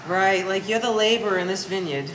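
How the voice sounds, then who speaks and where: A woman's voice: mid-range voice, fairly quick, fairly bright tone, close to an everyday voice. A woman playing a bitter, sardonic Polish-American daughter of sixty with pompous vocabulary, in a staged play reading. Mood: sarcastic. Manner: dry, pompous big words. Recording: echoey room, faint background noise.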